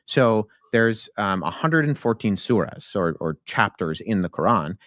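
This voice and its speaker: A man as a podcast guest, explaining things in a measured way. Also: fairly low pitch, expressive, fairly bright tone, no room sound, clean studio sound. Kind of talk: podcast interview.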